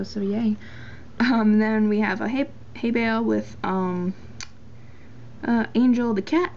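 Teen girl slowly rambling thru a toy barn tour, lots of ums, dull camera mic w/ faint hiss. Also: medium pitch, a little mellow. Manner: rambling, many ums, self-deprecating. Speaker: model horse collector giving a tour. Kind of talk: casual filmed tour narration. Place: indoors at a tabletop toy barn. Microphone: handheld camera mic.

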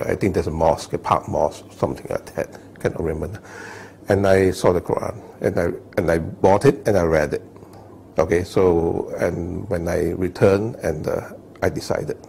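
A man in his fifties from Malaysia, sitting indoors, telling his life story thoughtfully with many pauses and "all right?" checks. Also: deep voice, steady tone, vocal fry, darkish tone, a little hiss or hum. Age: 50s. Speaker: interviewee. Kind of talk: personal testimony, unscripted. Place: indoor living room, seated on sofa.

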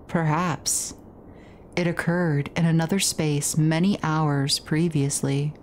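A woman voicing a cryptic ghostly spirit in a horror game, speaking in a measured, formal, portentous way. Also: low-pitched, fairly even intonation, darkish tone, slight background noise. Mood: grave warning. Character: solemn, cryptic ghostly spirit warning of danger. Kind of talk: reading horror game dialogue aloud.

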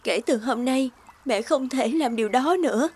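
A woman's voice: high voice, moderate pace, breathy edge. A woman playing a loving, grieving mother in a cartoon drama, with a tender, emotional and pleading manner. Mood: tender sorrow. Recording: loud music bed behind the voice. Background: music.

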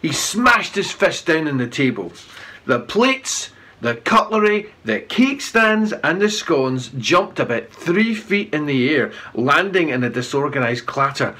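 A middle-aged man reads a children's story aloud. His voice is fairly high and bright with a husky edge, and he narrates in an animated storytelling style. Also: measured pace. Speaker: children's author reading aloud.

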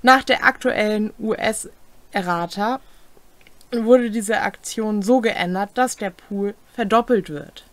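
A woman's voice: mid-range voice, takes it slowly, no echo. A young woman co-hosting, reading tabletop game rules aloud and chatting casually. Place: small home room, indoors.

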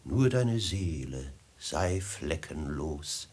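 Suave robber chief, very low voice, widely swooping intonation, mock-friendly menace, vintage radio play. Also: male voice, big pitch swings, drawn-out words, a little mellow, husky, full character voice. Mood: sententious, measured. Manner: unctuous, flowery, mock-friendly, theatrical. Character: smooth, menacing desert robber chief, falsely courteous host. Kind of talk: 1950s German radio drama acting.

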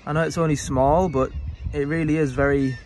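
A man's voice: fairly high pitch, steady tone, brisk, darkish tone, a little hiss or hum. A young man from England, a fossil hunter, narrating a casual vlog on a rocky beach and explaining his finds with enthusiasm. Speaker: fossil hunter, channel host.

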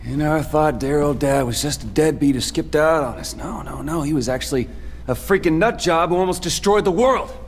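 An actor playing a bitter, tough young mercenary in a video game cutscene, American, with a blunt, sardonic delivery. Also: male voice, fairly high pitch, moderate pace, in a large hall, slight background noise, lightly acted. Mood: bitter, agitated. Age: young adult.

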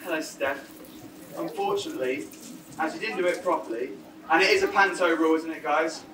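A performer playing Buttons's part, a cheeky, excitable young male pantomime sidekick, bantering playfully with a live audience in an exuberant style. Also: mid-range voice, fairly quick, distant mic, lightly acted. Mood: rousing, teasing. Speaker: pantomime comic working the audience. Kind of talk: pantomime audience banter, shouted call and response. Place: on stage in a theatre. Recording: roomy theatre from afar, noisy audience. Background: live audience with children.

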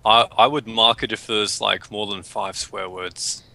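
A man's voice: fairly low pitch, fairly even intonation, a little slow, vocal fry, sharp tone. A teenage boy with an Australian accent, joking around in casual chat while playing a game with friends over voice chat. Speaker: player.

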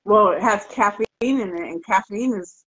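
A woman's voice: medium pitch, normal speed, fairly bright tone, husky. A middle-aged American woman hosting a casual livestream Q&A in an informal, playful way. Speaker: host. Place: living room at home, video call. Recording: dull, muffled video-call audio.

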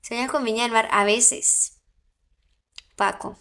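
Slow, playful young Colombian woman. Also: a little high, steady tone, sharp tone. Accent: Colombian coastal mixed with Santander. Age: young adult.

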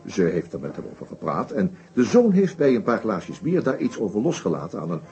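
A methodical, shrewd police inspector laying out his case in a radio play. The voice is soft-edged and moves up and down with animation, pausing deliberately over small hesitations. The old recording sounds dull. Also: fairly low pitch, wildly swooping pitch, slightly rough, soft background music, heavily acted. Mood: assured, revealing. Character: shrewd, methodical male detective inspector summing up a murder case. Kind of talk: Dutch radio play acting.

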